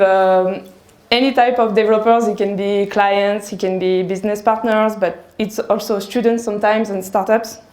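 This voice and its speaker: French woman tech speaker, talks slow and careful, fairly high voice, echoey hall. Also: a little mellow. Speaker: developer advocate. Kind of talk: technical conference talk. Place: conference hall.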